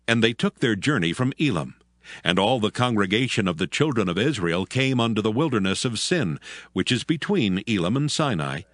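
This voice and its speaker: Deep, expressive male scripture narrator. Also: no room sound. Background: music.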